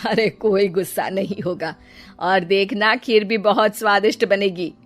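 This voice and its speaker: Woman acting a gentle older mother-in-law. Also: mid-range voice, steady tone, unhurried, soft background music, natural voice. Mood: encouraging.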